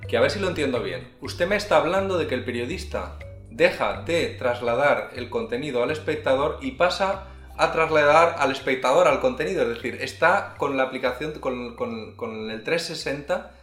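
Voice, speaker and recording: A man's voice: mid-range voice, expressive, moderate pace, fairly bright tone, faint music behind. A man hosting an interview, probing the guest and summing up his points. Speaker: radio interviewer, host. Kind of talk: interview questions. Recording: roomy echo.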